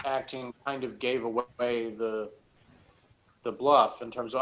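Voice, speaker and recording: A man's voice: medium pitch, fairly bright tone, dull audio. A man in a casual review chat, thinking aloud in a rambling, tentative way as a guest reviewer. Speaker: guest reviewer discussing TV episodes. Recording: noisy recording with background ambience. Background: noticeable noise and ambience.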